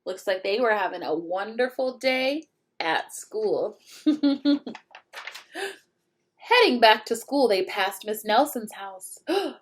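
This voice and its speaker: Slow, very expressive woman storyteller. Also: medium pitch. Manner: expressive, pauses to question young listeners. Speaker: read-aloud storyteller. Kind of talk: children's picture book read-aloud.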